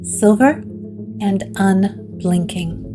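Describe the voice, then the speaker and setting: A woman narrator reading scripted gothic horror in a measured way, with dramatic pauses. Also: medium pitch, over music.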